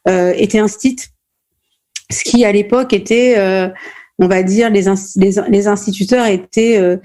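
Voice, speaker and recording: A middle-aged woman from Normandy answers interview questions in a mellow, warm voice at a middling pitch. She speaks thoughtfully, pausing often and restarting her sentences as she searches for words. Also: fairly even intonation. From France, Normandy. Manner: thoughtful, many hesitations and restarts. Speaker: playwright and stage director, guest.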